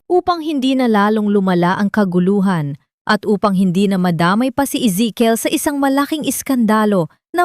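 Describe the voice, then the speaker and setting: A woman's voice: mid-range voice, a little mellow, dry recording, clean studio sound. A woman narrating a scripted audio drama in a solemn, melodramatic storytelling manner.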